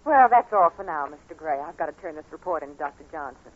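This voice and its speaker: An actress playing a brisk, reassuring hospital nurse in a professional manner, in the style of 1940s radio drama. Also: female voice, low voice, animated. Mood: businesslike. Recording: dull, noisy 1940s radio recording.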